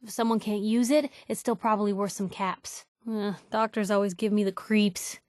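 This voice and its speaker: An actress playing a sardonic, plucky young reporter with an American accent, making casual companion remarks in a video game. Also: female voice, mid-range voice, steady tone, moderate pace, darkish tone, no room sound, studio quality, natural voice. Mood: wry, cautious. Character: wry, plucky young female reporter in a post-nuclear wasteland.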